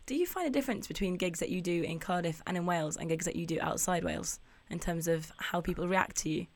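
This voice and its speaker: Chatty woman interviewer, lowish voice. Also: fast, dry recording. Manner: chatty, laughs easily between questions. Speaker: radio interviewer. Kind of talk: interview questions.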